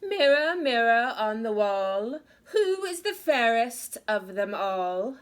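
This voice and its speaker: A woman voicing a vain, commanding evil queen in a children's storybook, with imperious, drawn-out dramatic delivery. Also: a little high, sing-song. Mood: vain, grand. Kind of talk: children's storybook read-aloud.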